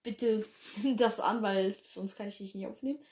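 A woman's voice: medium pitch, moderate pace, darkish tone. A woman chatting casually in her bedroom, giggly and rambling, laughing at her own mistakes. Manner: giggly, rambling, self-deprecating. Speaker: host of a fan clan roleplay channel.

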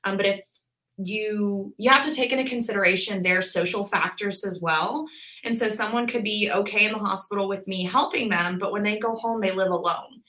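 Woman, 20s, podcast guest; bright tone, talkative, filler-heavy explainer. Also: mid-range voice, normal speed, rough recording. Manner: chatty, explains with lots of "like" and "um". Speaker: podcast guest, physical therapist. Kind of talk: casual interview chat.

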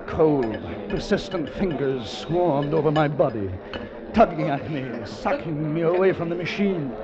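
Intense adventurer, dull vintage radio sound. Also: male voice, a little high, lively intonation, a little slow. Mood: tense, dramatic. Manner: dramatic, intense narration. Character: anguished adventurer recounting his time travel ordeal. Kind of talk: 1950s radio drama acting. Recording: dull vintage radio recording, music behind.

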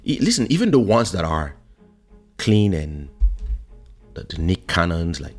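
Deep-voiced man over music. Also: very animated, unhurried. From born in Africa, grew up in France. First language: French. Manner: emphatic, repeats words, rhetorical questions. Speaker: online show host. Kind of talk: casual opinionated monologue to viewers.

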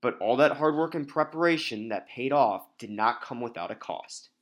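A man's voice: mid-range voice, lively intonation, normal speed. Man reading scripted podcast narration.

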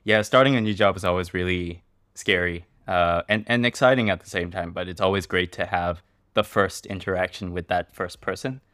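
A man hosts a casual podcast interview, drawing out long, searching questions. His voice is very deep and recorded close and dry. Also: a little fast, a bit bright, no room sound. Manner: asks rambling, searching questions. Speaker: podcast host.

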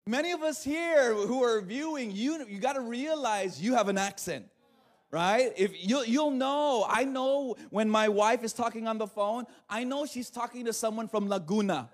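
A man's voice: extremely high pitch, normal speed. A man, preaching a livestreamed sermon in a conversational way, often asking "right?" and laughing easily. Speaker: pastor.

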